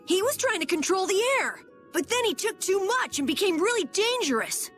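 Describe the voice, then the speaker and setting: Game role: earnest, excitable kid adventurer boy, extremely high, animated pitch, faint music. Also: moderate pace, heavily acted. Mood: worried, surprised. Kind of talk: video game cutscene acting.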